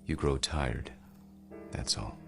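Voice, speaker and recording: An actor playing a world-weary, grave and rueful immortal man in a radio drama, speaking in a measured, philosophical way. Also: rumbling low, creaky, a bit bright, heavily acted. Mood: resigned. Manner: measured, philosophical, deliberate delivery. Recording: radio drama with music underneath.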